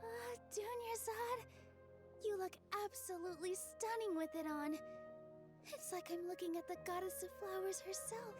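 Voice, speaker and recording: A woman's voice: very high voice, steady tone, normal speed, music in the background. A woman voicing a sweet, eager young theater performer in a video game. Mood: awed. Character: sweet, encouraging young female theater performer and costume maker.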